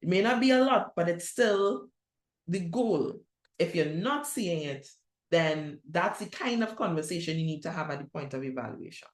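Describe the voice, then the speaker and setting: A woman's voice: on the low side, lively intonation, moderate pace. Trinidadian woman presenting in an echoey room. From Trinidad and Tobago. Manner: conversational, direct, with restarts and rhetorical questions. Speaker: youth ministry presenter. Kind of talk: conference presentation.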